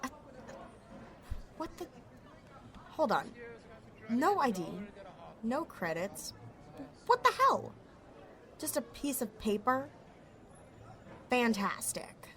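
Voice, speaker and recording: A woman's voice: high voice, big pitch swings, slow, pauses often, a bit bright, echoey room, big-room sound, exaggerated voice. A woman playing a cocky, sarcastic young pickpocket outlaw in a sci-fi audio roleplay, muttering wryly to herself. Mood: baffled, annoyed. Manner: wry, sardonic, slangy, talking to herself.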